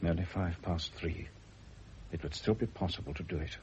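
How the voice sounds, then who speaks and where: An actor playing a calm, probing doctor in a British radio drama, formal and deliberate in manner. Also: male voice, deep voice, natural voice. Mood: quietly pressing. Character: calm, probing male doctor with measured authority. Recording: dull, noisy old radio drama recording.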